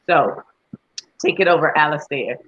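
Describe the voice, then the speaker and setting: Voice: medium pitch, animated, moderate pace, fairly bright tone, husky, muffled recording. Upbeat host handing over on a call. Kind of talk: show wrap and handover.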